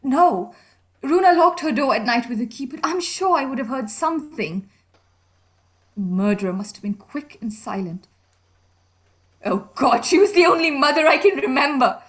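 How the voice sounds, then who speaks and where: A woman's voice: high-pitched, animated, normal speed. A woman playing an earnest, grieving young heiress giving formal, emotional testimony in a radio drama. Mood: anguished.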